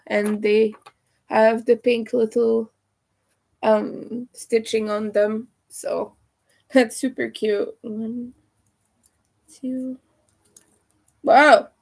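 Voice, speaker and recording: A young woman with a raspy, hoarse voice, chatting casually with viewers as she crochets on a livestream. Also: a little high, darkish tone. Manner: chatty, talks to viewers while working. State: hoarse from streaming a lot. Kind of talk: casual chat while crocheting live.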